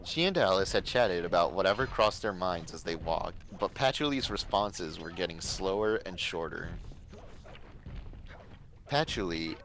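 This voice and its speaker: A man's voice: deep voice, animated, normal speed, few pauses, fairly bright tone, a little hiss or hum, rough recording. A man reading a fan story aloud as narrator on a livestream.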